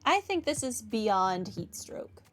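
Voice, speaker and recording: A woman's voice: fairly high pitch, big pitch swings, slow pace, a bit bright. A woman gaming streamer giving casual, wry, informal commentary on a playthrough. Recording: game music behind voice.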